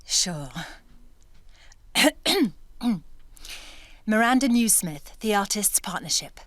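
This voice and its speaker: A woman's voice: mid-range voice, animated, takes it slowly, fairly bright tone, no echo. A woman playing an articulate, confident actress at an audition, polite but pointedly questioning, in naturalistic audio drama. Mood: politely nervous. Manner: polite, hesitant fillers, then pointedly questioning.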